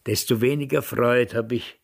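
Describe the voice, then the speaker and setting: An actor playing a nostalgic, muddled old former military man in a radio drama, grumbling in halting, broken phrases. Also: mid-range voice, fairly even intonation. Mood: wistful, brooding. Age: older. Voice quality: raspy, gravelly.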